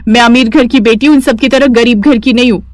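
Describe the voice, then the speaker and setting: A haughty young bride from a rich house, acted by a woman storyteller. Her high voice snaps with scorn and rudeness, as in a cartoon family drama. The sound is close and dry. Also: fairly even intonation, quick pace, no echo, natural voice. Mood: scornful, irritated. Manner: snapping, scornful.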